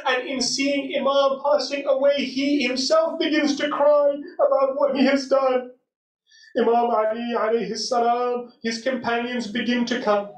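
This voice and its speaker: Male religious lecturer, very high voice, mournful dramatic storytelling, recorded at a distance in an echoing space. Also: flat delivery, moderate pace, muffled recording. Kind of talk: emotional sermon, retelling a sacred story. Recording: echoey hall, distant microphone.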